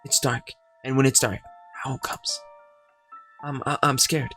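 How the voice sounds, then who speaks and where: A man's voice: mid-range voice, flat delivery, a little slow, sharp tone, soft background music. A man voicing a scared little girl who pleads in fearful whispers.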